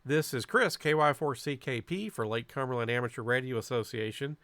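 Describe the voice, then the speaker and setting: A man giving a casual, unscripted club video narration as presenter, in a halting way with frequent pauses and repeated words. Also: medium pitch, fairly bright tone, dry recording. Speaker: amateur radio club presenter.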